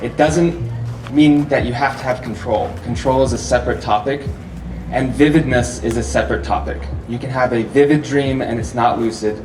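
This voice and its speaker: A man giving a relaxed, chatty talk at a festival, asking the audience questions and laughing often between points. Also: mid-range voice, moderate pace, music in the background. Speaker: festival speaker. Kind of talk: live public talk to an audience. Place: festival venue with a live audience. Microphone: handheld or stand microphone, often held far off. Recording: roomy echo, distant mic, audible hall noise.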